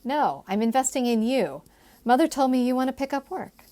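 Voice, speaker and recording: A woman voicing a confident, teasing gentleman in a historical romance audiobook, with persuasive, formal phrasing. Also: high voice, lively intonation, normal speed, voice pushed up. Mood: eager, persuasive.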